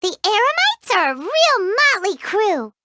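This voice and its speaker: A woman's voice: very high-pitched, very animated, unhurried, very noisy, exaggerated voice. A woman voicing a tiny, greedy, chatty fairy companion who speaks of herself in the third person, in studio game voice acting. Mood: excited. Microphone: studio mic.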